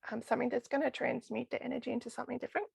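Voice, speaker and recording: Brief: woman guest, chatty and enthusiastic, voice going hoarse, gravelly fry, dry close sound. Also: on the high side, flat delivery, racing, darkish tone, no room sound. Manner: enthusiastic, chatty with frequent laughs. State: losing her voice. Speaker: crystal healing guest. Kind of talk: casual podcast interview.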